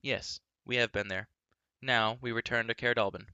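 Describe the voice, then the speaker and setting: A man voicing an earnest, hot-tempered young man who speaks up loudly and accusingly. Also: mid-range voice, fairly even intonation, moderate pace, clean tone, no room sound, muffled recording. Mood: indignant. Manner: loud, accusing, indignant.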